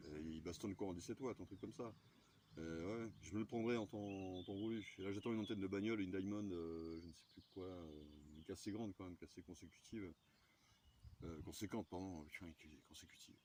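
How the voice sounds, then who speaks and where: A man with a low, extremely mellow and dark voice, chatting in a slangy, rambling way and often correcting himself. There is faint noise on the outdoor recording. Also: brisk. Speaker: amateur radio operator filming his radio chat. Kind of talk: casual chat, slangy.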